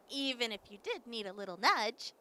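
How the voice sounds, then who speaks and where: A woman's voice: a little high, animated, measured pace, bright, forceful tone, rough recording. A woman playing a brash, teasing wasteland companion in an audio drama, blunt and sarcastic. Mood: prodding, wry. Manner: blunt, sarcastic, sighs and scoffs.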